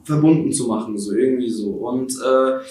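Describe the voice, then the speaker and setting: A man's voice: medium pitch, moderate pace, a little mellow, roomy sound, slight background noise. An eighteen-year-old man from Ukraine, a social media creator, answering interview questions casually with lots of fillers and restarts. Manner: hesitant, many fillers and restarts.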